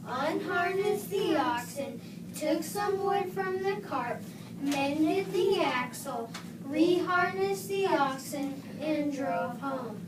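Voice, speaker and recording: A woman's voice: high voice, expressive, slow. A woman reading scripted folktale narration for a readers theater performance. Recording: noisy, echoey room from far off.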